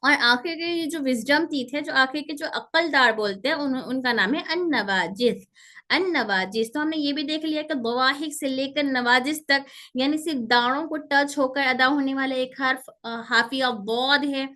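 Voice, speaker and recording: A woman with a high, bright voice teaches an online pronunciation class. She walks through each point step by step and repeats the key sounds slowly and carefully. She is heard through a computer mic on a call. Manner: explains step by step, repeats terms and letters slowly. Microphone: computer mic on a video call.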